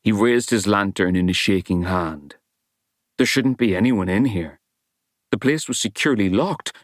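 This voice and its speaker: A man reading a novel as an audiobook narrator, in a wry storytelling style with measured, dramatic emphasis. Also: on the low side, no echo, studio quality.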